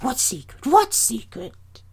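A woman voicing ancient grey hag sisters in a storybook reading, shrieking and squabbling in a high, thin voice. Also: big pitch swings, slow, gravelly, breathy edge, exaggerated voice. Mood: fearful. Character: shrill ancient crone sisters, squabbling and whining.

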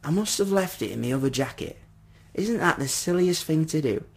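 A man voicing a polite, gentle little old gentleman in a story, well-spoken and effusive as he pleads. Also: a little high, lively intonation, fairly quick, creaky, husky, voice pushed up, heavily acted. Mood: gently earnest.

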